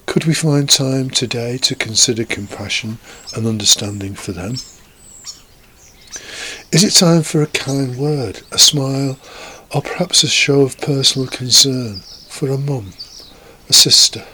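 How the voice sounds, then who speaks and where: A man delivering a scripted spoken reflection as a preacher, in an earnest, measured way with rhetorical questions. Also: fairly low pitch, bright, forceful tone. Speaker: preacher giving a podcast homily. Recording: faint background noise.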